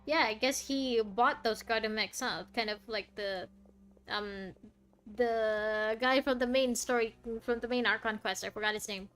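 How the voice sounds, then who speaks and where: Higher-voiced woman giving casual game commentary. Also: measured pace, a bit bright. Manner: musing aloud, reacting to dialogue. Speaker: streamer playing a game.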